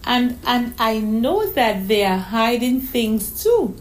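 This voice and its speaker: A woman's voice: on the high side, big pitch swings, takes it slowly, pitched above the performer's own voice. A woman voicing a shy, sweet young girl who stammers and repeats her words. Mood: eager, hesitant.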